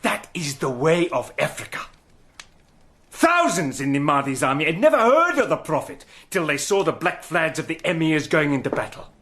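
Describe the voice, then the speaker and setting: A cool, persuasive British agent narrates and argues in a calm, measured way. His voice sits slightly high, steady and controlled, like the lead of a radio drama.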